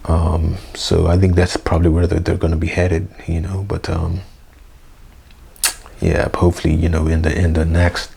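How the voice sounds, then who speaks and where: A young man, hoarse, rambling through a casual unedited review vlog with lots of fillers and slang. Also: low voice, monotone, normal speed, dark tone. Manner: rambling, full of fillers like "you know" and "man". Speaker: wrestling review vlogger. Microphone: basic mic, plans a new one.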